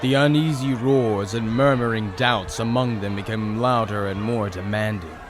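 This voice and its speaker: A man's voice: mid-range voice, background noise. A man narrating a scripted fantasy story in a dramatic storytelling style.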